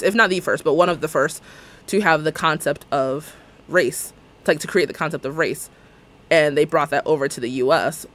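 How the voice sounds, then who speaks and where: A man in his twenties talks on stream in a slightly higher register. He vents at length, dropping into mocking impressions of what others said. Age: 20s. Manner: ranting, animated, sarcastic, mimics others' words. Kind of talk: casual livestream chat while building in a game.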